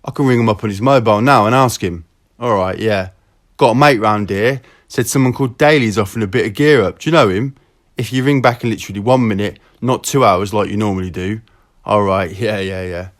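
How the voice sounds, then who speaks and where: A man's voice: fairly low pitch, a little fast. A man with a southeast England accent chatting casually in slangy, sweary language on a recorded telephone call. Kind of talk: casual phone chat.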